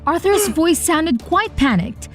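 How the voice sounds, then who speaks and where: A woman voicing an earnest, optimistic young heroine who narrates her own animated story in an expressive, dramatic way. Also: very high voice, measured pace, full character voice. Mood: earnest storytelling. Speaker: narrator and lead character. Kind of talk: animated first-person story narration with acted dialogue. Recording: voice over background music. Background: music.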